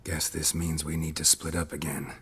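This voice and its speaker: An actor playing a gruff, terse middle-aged monster hunter in a video game, talking in a dry, clipped way. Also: male voice, rumbling low, level tone, normal speed, fairly bright tone, exaggerated voice.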